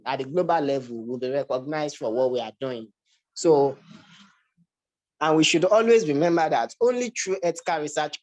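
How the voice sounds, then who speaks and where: A young adult from Nigeria with a Nigerian accent, giving an academic slide presentation and often repeating words and restarting phrases. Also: mid-range voice. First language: Yoruba. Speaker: research presenter.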